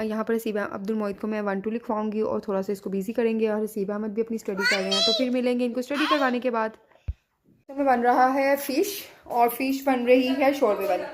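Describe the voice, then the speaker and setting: A woman's voice: a little high, fairly quick, slight background noise. A woman in her thirties with a Pakistani Urdu accent, chatting casually in a home vlog and talking to her children. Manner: chatty, scolds and coaxes children. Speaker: vlogger and mother. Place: home and restaurant.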